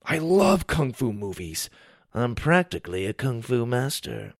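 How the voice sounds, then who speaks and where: A man reading aloud and voicing an excitable young boy who is an eager, boastful kung fu fan. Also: medium pitch, a little slow, no room sound, clean studio sound.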